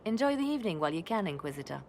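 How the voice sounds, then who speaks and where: An actress playing a composed, courtly fantasy advisor, speaking in a measured, formal way. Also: female voice, mid-range voice, very animated, darkish tone, slight background noise. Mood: composed, solemn. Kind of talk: dramatic game dialogue.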